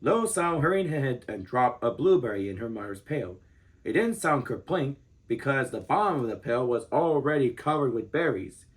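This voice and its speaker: Animated man reading a storybook aloud. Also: medium pitch, moderate pace. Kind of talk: expressive children's book read-aloud.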